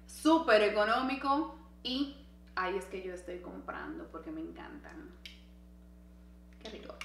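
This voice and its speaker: Lady doing a chatty sponsor plug, higher bright voice, echoey room. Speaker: video host. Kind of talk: casual scripted sponsor read. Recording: echoey room with faint hum.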